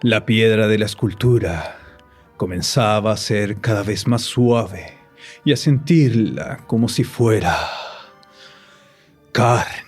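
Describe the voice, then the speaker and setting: Slow, low-voiced man narrating a horror tale. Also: faint music behind. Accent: Chilean Spanish. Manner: slow, ominous delivery with sinister laughs. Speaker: guest co-narrator. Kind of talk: dramatic storytelling narration.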